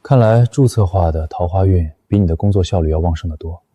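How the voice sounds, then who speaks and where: An actor playing a cold, possessive boss in a dubbed animated romance drama, speaking in a stern, formal, cutting way. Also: male voice, sits low, brisk, slightly rough, lo-fi sound. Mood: icy, possessive. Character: cold, possessive young male boss, icy and sarcastic. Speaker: company boss.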